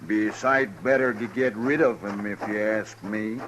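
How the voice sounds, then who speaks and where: A man's voice: lowish voice, moderate pace, fairly bright tone, lightly acted. A man playing a cold, bullying outlaw henchman in a 1950s radio western, speaking threateningly. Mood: cold threat. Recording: noisy old radio recording.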